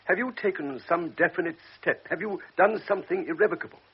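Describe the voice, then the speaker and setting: An actor playing a calm, authoritative older doctor in a radio drama, speaking in a measured, persuasive way. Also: male voice, high-pitched, husky, full character voice. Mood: grave. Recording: muffled old radio recording.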